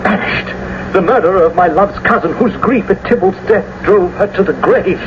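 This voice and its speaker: A man's voice: sits high, normal speed, lightly acted. An actor playing a proud, mournful, vengeful young nobleman in a classical radio drama. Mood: outraged. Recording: muffled, noisy old radio broadcast.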